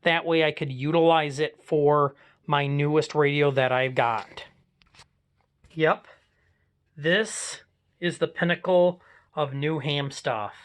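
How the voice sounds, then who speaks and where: Higher-pitched American man, casual hobbyist presenter. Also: steady tone, slow pace, long pauses, clean tone. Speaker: amateur radio hobbyist presenter. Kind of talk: casual vlog walkthrough of own equipment.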